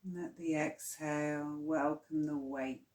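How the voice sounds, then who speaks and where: A woman teaching yoga nidra, guiding relaxation in a calm, soothing, hushed way with long pauses. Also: low-pitched, echoey room. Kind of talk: slow guided relaxation meditation.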